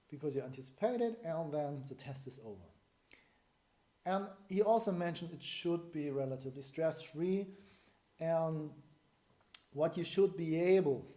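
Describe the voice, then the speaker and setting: A man's voice: a little high, mellow. A man in his forties with a German accent, a breathing coach explaining a method to camera in a small room, thinking aloud with restarts and fillers. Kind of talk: explanatory video lesson to camera. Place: small indoor room at home.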